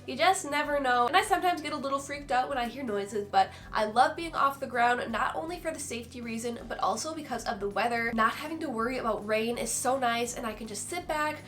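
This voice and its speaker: A woman's voice: a little high, lively intonation, brisk, few pauses, a bit bright. A woman in her twenties from Minnesota, chatting enthusiastically through a casual product review vlog, her voice stuffed up and nasal from a recent illness. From Minnesota, USA. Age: 20s. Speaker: vlogger.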